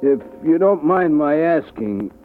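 A man's voice: fairly high pitch, lively intonation, a little slow, soft background music. An actor playing a gangster's deferential, anxious, griping henchman in an old-time radio drama. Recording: tinny old radio recording.

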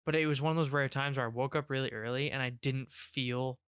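A man with a mid-pitched, smooth and clear voice talks easily as a podcast co-host. His delivery is laid-back, with dry one-word quips. The recording is close and dry. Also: fairly even intonation, fairly quick, fairly bright tone, dry recording. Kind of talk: casual chat.

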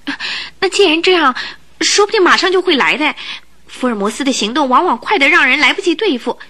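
A woman's voice: high-pitched, lively intonation, brisk, pushed and bright. A woman playing a clever, wary and determined heroine in a Mandarin radio drama. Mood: urgent. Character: clever adventurous woman, wary, determined, polite, newly married.

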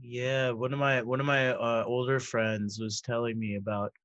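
A man's voice: mid-range voice, level tone, moderate pace, a bit bright, lo-fi sound. A man in his thirties in casual chat, rambling and correcting himself as he goes, laughing easily. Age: 30s.

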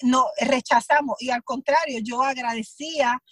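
A Puerto Rican woman with a high voice tells her stories with animation. She slips into little acted quotes of her own thoughts. The sound is close and dry, with no room around it. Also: steady tone, normal speed, fairly bright tone, dry recording. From Puerto Rico. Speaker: actress, guest. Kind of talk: conversational interview.

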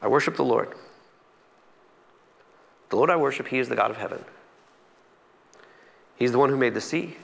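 A man's voice: mid-range voice, normal speed, gravelly, long pauses, a bit bright. A man voicing a resigned, devout runaway prophet in declarative, confessing lines from a Bible story. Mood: solemn, declaring. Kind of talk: dramatised Bible story reading.

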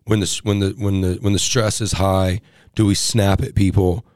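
A man with a deep, low voice, talking earnestly and casually. He restarts his sentences and checks in with "right?" a lot. The sound is close and dry. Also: little pitch movement, moderate pace, darkish tone, no echo. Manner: earnest, many restarts, tags lines with right?. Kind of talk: casual chat.

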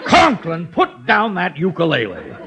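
An actor playing an irascible, sarcastic middle-aged boss in an old radio sitcom, gruff and exasperated in manner. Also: male voice, high-pitched, huge pitch swings, a little slow, crowd noise, full character voice. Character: irascible, sarcastic male boss of a school principal. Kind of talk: 1950s radio sitcom acting. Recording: noisy old radio, loud studio audience.

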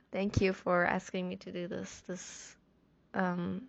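A woman from Iran, a native Farsi speaker, talking reflectively and pausing to search for her words. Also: fairly low pitch, flat delivery, vocal fry, dry recording, lo-fi sound. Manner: thoughtful, searching for words, many restarts. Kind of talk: reflective personal monologue.

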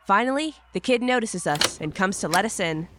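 A woman's voice: medium pitch, expressive, fairly quick, heavy background noise, natural voice. A woman playing a curious, impatient young apprentice, narrating in the first person from a script. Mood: eager, hushed wonder. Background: music.

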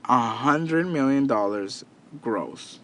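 A man's voice: mid-range voice, lively intonation, takes it slowly, fairly bright tone, slight background noise. A man of thirty talking earnestly and casually to his listeners, repeating himself and restarting often as he goes. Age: 30s. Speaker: podcast host and entrepreneur. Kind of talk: casual motivational monologue.

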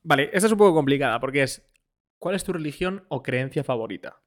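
A man co-hosting a podcast who tells anecdotes in an animated way, acting out the lines he quotes. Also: fairly high pitch, quick pace, a crowd in the background. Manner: animated storyteller, acts out quoted speech. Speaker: podcast co-host. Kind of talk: casual podcast chat.

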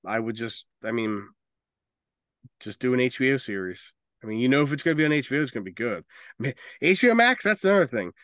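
A man's voice: mid-range voice, expressive, quick pace, fairly bright tone, dry recording, studio quality. A man co-hosting a podcast in casual, opinionated discussion, speaking emphatically and swearing freely. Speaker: podcast co-host.

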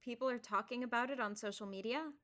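Woman as a high, bright-voiced young girl, Kitty. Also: fast talker. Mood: earnest. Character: Kitty, earnest young girl, Anna's friend. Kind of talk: audio play acting with narration.

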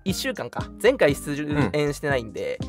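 A young man chatting casually as a radio host, reacting readily to his co-host. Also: a little high. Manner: animated, reactive, quick repeated backchannels. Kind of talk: casual chat. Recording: music playing behind the voice. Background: music.

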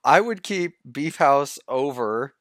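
A man co-hosting a casual podcast chat, easygoing but quick to protest. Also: mid-range voice, expressive, fairly bright tone, no echo, clean studio sound. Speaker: podcast co-host.

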